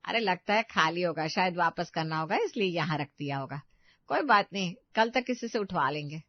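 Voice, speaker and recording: A woman's voice: lowish voice, lively intonation, moderate pace, dry recording. A woman playing a bossy, thrifty middle-aged mother-in-law in a family story, chiding and emphatic, in a slightly dull recording. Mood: unconcerned.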